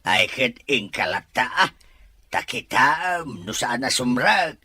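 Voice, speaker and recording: A man's voice: mid-range voice, sing-song, takes it slowly, sharp tone, husky, dry recording, exaggerated voice. A man with a Filipino accent playing an exasperated, scolding husband in an audio drama. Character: exasperated, scolding husband nagging about gossip. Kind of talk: Ilocano audio drama acting.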